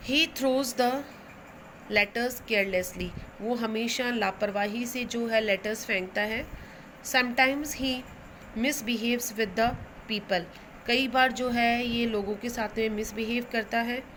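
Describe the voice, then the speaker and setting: A woman's voice: fairly high pitch, steady tone, takes it slowly, fairly bright tone, slight background noise, poor audio. A woman with an Indian accent teaching English to school students, explaining instructively and switching between Hindi and English phrases as she repeats and translates.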